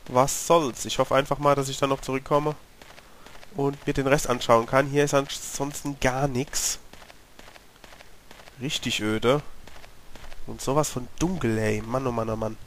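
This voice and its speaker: A German-speaking man with a cold, slightly hoarse, giving chatty commentary while playing a horror game and talking to his character. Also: mid-range voice, fairly even intonation, a little slow, pauses often, a little hiss or hum. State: has a cold, clearing his throat. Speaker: let's play gamer. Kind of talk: casual gameplay commentary.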